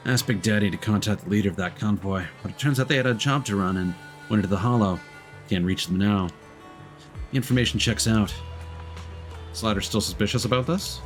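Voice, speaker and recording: Man, low voice, streamer, casual game commentary, reads dialogue aloud, light game music behind. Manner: relaxed, reads dialogue lines flatly and comments between them. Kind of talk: casual let's play commentary and reading game text aloud.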